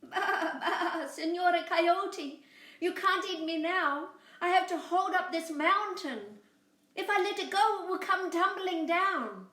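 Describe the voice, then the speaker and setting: A woman's voice: very high-pitched, slow pace, pushed and bright, roomy sound, pitched above the performer's own voice, heavily acted. A woman voicing a small, sweet but crafty female lamb in a bleating, pleading singsong for a children's storybook. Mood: feigned alarm.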